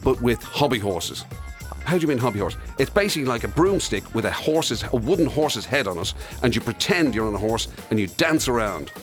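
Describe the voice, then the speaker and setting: A man's voice: medium pitch, big pitch swings, fairly quick, faint music behind. A middle-aged Irish man chatting casually as a podcast co-host, in an incredulous, bantering way. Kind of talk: casual comic chat.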